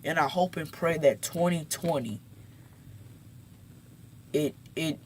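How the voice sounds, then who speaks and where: Teenage male YouTuber, slightly high voice, slow unhurried delivery, halting and emphatic, recorded on a phone. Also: a little hiss or hum. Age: teens. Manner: halting, repeats words, gets emphatic. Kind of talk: casual talk to camera.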